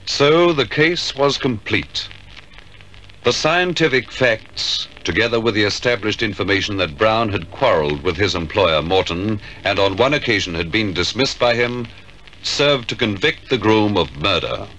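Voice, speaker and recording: Male narrator, low and forceful, formal deliberate storytelling, vintage crime serial with faint hiss. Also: lively intonation, rough recording. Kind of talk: scripted 1950s radio crime drama narration. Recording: hissy 1950s radio recording.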